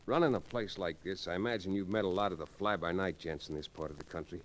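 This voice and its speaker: An actor playing a cool, steady frontier lawyer in an old-time radio western, speaking in a measured, understated way. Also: male voice, deep voice, lightly acted. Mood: cool, probing. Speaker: lead actor and narrator. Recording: noisy old radio recording.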